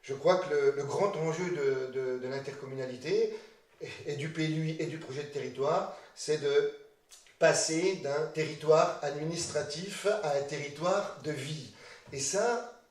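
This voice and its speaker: Man in a very echoey room, halting delivery. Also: fairly high pitch. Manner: hesitant, repeats words and restarts phrases. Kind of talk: interview testimony, spontaneous. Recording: very echoey room, slightly distant mic.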